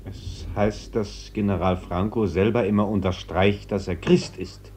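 A man plays an earnest priest in a radio drama. His low voice is formal and measured, preaching yet guarded. Faint noise runs through the old recording. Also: fairly even intonation, a little mellow, natural voice. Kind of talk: German radio play acting.

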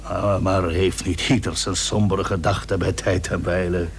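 An actor playing an ailing, pious older admiral in an old radio drama, speaking in a solemn, halting, emphatic manner. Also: male voice, low voice, level tone. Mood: solemn, resigned. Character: ailing, dutiful, pious seventeenth-century Dutch admiral, resigned to death. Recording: old radio recording with faint hum.

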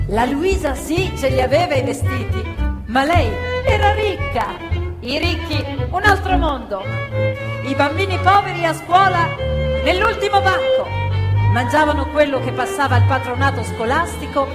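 A woman's voice: high voice, lively intonation, normal speed, over loud music. A woman narrating a stage play expressively, with a rhythmic, sometimes half-sung delivery. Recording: live stage recording in a reverberant theatre with violin.

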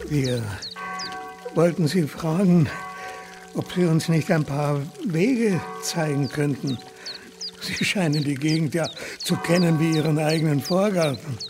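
An actor playing an effusively polite, chatty elderly tourist in a radio play. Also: male voice, on the high side, measured pace, music in the background, close to an everyday voice. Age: older. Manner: ceremonious, chatty, courteous.

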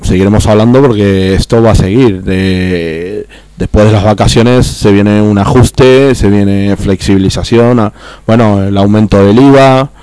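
A man's voice: on the low side, steady tone, a little slow, dark tone, clear, resonant tone, dry recording. Argentine man ranting, stumbling. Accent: Argentine Spanish. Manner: heated, repeats and stumbles over words. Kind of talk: casual political radio chat.

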